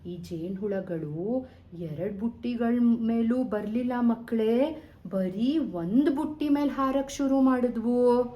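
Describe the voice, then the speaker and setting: A woman telling a bedtime story to young children in a gentle, engaging manner. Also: fairly high pitch, takes it slowly, muffled recording. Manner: gentle, addresses listeners with questions.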